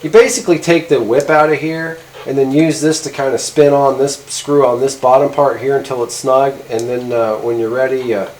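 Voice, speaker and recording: A man's voice: medium pitch, steady tone, moderate pace, hardly any pauses, a little mellow. A middle-aged man casually demonstrating gear as a video host, talking in a rambling, hesitant, informal way. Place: indoor garage workshop at a workbench.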